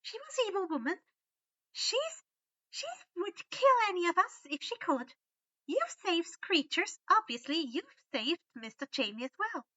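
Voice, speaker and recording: A woman's voice: extremely high pitch, very animated, a little slow, pushed and bright, no echo, dull audio, voice pushed up, heavily acted. A woman voicing a bossy, sarcastic female house elf servant who speaks in broken third-person grammar for a story. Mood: scolding.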